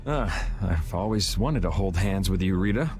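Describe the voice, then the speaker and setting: A man's voice: sits low, lively intonation, moderate pace, a little mellow, soft background music. A man playing a laid-back, sardonic young swordsman in a video game, with a dry, casual delivery. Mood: unbothered. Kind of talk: video game dub acting.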